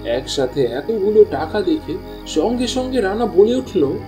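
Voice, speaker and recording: A man's voice: high-pitched, sing-song, unhurried, mellow, faint music behind. A man reading scripted storytelling narration as the narrator of a tale.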